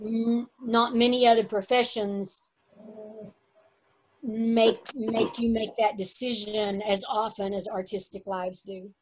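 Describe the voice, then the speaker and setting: A woman with a slightly high voice and a slow, unhurried pace. She shares her thoughts informally in a video-call discussion. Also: level tone, darkish tone, rough recording. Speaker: table read participant. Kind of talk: casual discussion.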